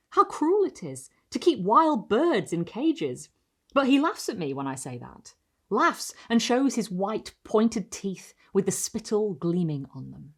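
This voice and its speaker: A woman reading a gothic short story aloud, measured and expressive, lingering on phrases. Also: fairly low pitch. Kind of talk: literary audiobook-style narration of gothic fiction.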